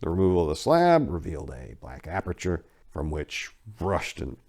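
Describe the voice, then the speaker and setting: A man giving a deliberate, theatrical dramatic reading of a horror story as narrator, swelling on some words and dropping hushed on others. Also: low voice, wildly swooping pitch, gravelly, a little hiss or hum. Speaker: narrator, amateur story reader.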